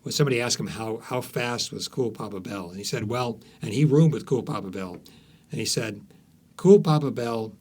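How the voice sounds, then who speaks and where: A man's voice: mid-range voice, normal speed, darkish tone. A man speaking as an author being interviewed, telling stories conversationally with frequent false starts and repeated words.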